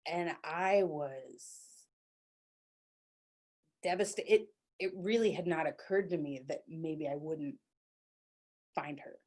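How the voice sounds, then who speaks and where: A middle-aged woman from Dallas performs a comic solo monologue. Her voice sits a little low for a woman. She talks in a chatty, self-mocking way, with plenty of likes and quick restarts. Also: darkish tone. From Dallas, Texas.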